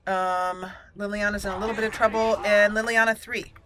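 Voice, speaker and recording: A woman's voice: medium pitch, level tone, a little fast. A woman hosting a gaming channel, giving casual play-by-play and thinking aloud as she narrates each move. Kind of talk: casual gameplay commentary. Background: game music.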